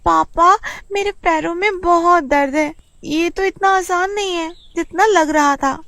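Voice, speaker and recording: A woman voicing an excitable young girl in a cartoon who dreams of wrestling, talking in shrill exclamations. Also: a little slow, no room sound, full character voice. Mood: excited, pleading. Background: faint music.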